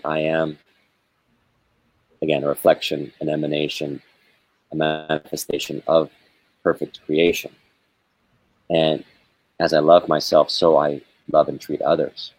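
A man with a very deep, somewhat husky voice talks in a slow, searching way, pausing often as he reflects. The sound is close and dry, with no room around it. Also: no echo, low-quality recording. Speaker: spiritual guest. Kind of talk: reflective spiritual conversation.

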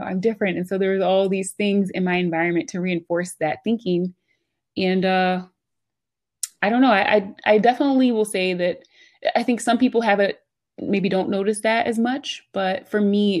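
An American woman speaks as a guest in a relaxed interview format. Her voice sits at an average pitch for a woman. She talks informally and chattily, telling personal stories with plenty of "like" and "you know". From United States, Philadelphia area. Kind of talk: casual conversational storytelling.

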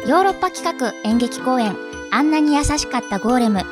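An actress playing an announcer reading a scripted theatre advertisement on the radio. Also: female voice, high-pitched, lively intonation, fairly quick, loud music behind the voice. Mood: bright promotional. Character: female announcer of a cheerful theatre promo.

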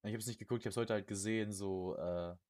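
A young man co-hosting a casual podcast chat, slangy and jokey, often repeating words and phrases in quick bursts. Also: fairly low pitch, fairly even intonation, a little mellow. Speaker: podcast co-host.

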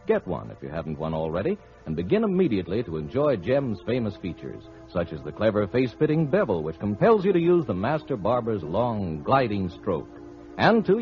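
A man announcing on 1940s radio, low-pitched with lively rises and falls. He is a polished, persuasive salesman and narrator. Light music runs behind the muffled old recording.

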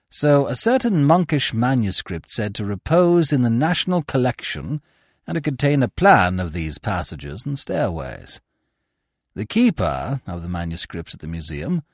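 A man reading aloud voices an injured detective, hoarse and weak, speaking with precise dry understatement. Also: fairly low pitch, dry recording. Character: injured, weakened man detective, clipped and determined.

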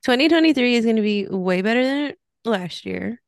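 Nasal woman, thirties, husky fry, teasing and giggly on a video call, dry close sound. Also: fairly high pitch, expressive, moderate pace, no room sound. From Mexican heritage. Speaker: podcast co-host. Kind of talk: casual chat.

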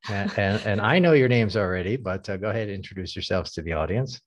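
A man hosts a podcast in a casual, earnest way, padding his sentences with ums and uhs. His voice sits low. The sound is dry and close, with no room echo. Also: expressive, fast talker. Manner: frequent filler words, earnest and plain-spoken. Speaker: podcast host. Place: America.

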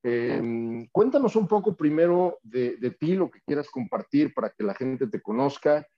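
A man's voice: medium pitch, expressive, normal speed. A man with a Mexican Spanish accent, hosting a podcast interview in a casual, friendly way, often repeating words as he goes. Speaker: podcast host. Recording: slightly muffled audio.

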